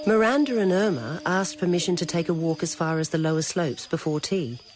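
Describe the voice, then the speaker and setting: A woman narrating a dramatic scripted story in a deliberate, literary manner. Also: on the low side, loud music behind the voice. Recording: noisy old radio recording.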